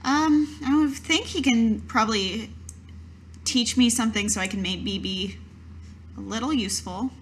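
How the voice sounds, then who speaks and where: A woman's voice: on the high side, measured pace, slight background noise. A woman playing a tabletop game, improvising in character in a casual, somewhat hesitant, questioning way.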